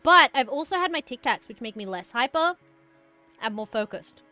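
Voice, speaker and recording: A hyper, bubbly woman with a high-pitched voice, chatting casually while streaming a game. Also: animated, normal speed, bright, forceful tone, no echo, soft background music. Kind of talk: casual gaming stream chat.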